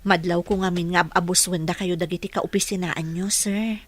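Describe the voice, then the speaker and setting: A woman playing a patient, dutiful caregiver in a radio drama, speaking politely and gently giving instructions. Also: on the low side, steady tone, normal speed, no room sound, close to an everyday voice. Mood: encouraging. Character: patient, dutiful young female caregiver coaching a patient. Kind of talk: Ilocano radio drama acting.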